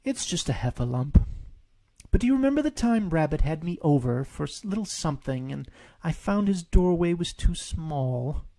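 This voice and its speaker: A man voicing a polite, gentle, simple-minded toy bear in a storybook reading, with an unhurried, courteous manner. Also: fairly high pitch, big pitch swings, creaky, voice pushed up. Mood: mild.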